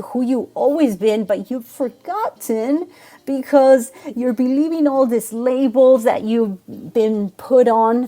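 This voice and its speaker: A woman in her forties from Argentina, speaking with an Argentine Spanish accent, a relationship coach telling her story in an earnest, animated way with fillers. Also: on the high side. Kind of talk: casual interview talk.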